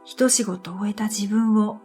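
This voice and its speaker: A woman narrating a scripted first-person radio drama in a gentle, unhurried way, with breathy phrase endings. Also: mid-range voice, fairly even intonation. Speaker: narrator and storyteller. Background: new-age music.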